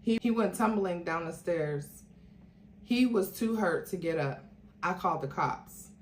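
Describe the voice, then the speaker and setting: Woman reading a book out loud all dramatic, lowish voice, bit of background noise. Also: lively intonation, a little slow. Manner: theatrical, acts out quoted dialogue. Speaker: YouTube host reading a book aloud. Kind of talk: dramatic storytelling read-aloud. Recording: roomy echo with faint noise.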